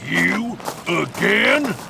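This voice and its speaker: A man voicing a gruff, menacing country pig farmer in a comic dub, with a rustic, threatening manner. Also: high voice, lively intonation, drawn-out words, noisy background, full character voice. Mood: exasperated. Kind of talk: comic dub character acting.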